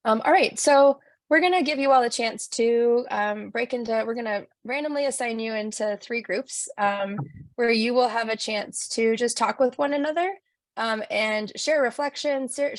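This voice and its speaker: A woman's voice: a little high, no room sound. Upbeat woman hosting a video webinar. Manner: upbeat, frequent um fillers. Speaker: webinar facilitator. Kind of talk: online workshop hosting. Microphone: video call.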